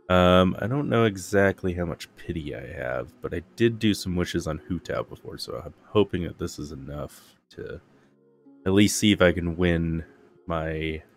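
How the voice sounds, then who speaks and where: A man with a low voice talks through a video game as he plays. It is casual commentary from a gaming host, with game music behind him. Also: gravelly.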